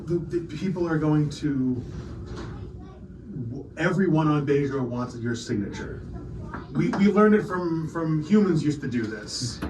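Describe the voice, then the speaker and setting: A man's voice: fairly high pitch, lively intonation, unhurried. A man playing a starry-eyed alien admirer of a hero in an improvised tabletop game. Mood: reverent. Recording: echoey noisy room, distant mic.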